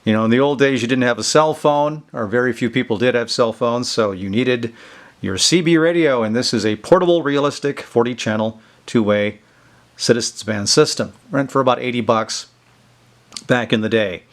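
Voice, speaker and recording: Guy doing a casual show and tell video, folksy explainer, medium pitched voice. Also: normal speed. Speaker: video host showing vintage electronics. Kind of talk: casual product demonstration. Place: indoors in a basement.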